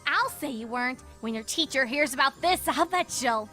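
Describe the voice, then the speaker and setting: A woman's voice: sits high, expressive, normal speed, bright, forceful tone, faint music behind. A woman playing a stern, scolding young adult female cartoon pony, firm and reproachful, in a fan fiction audio drama.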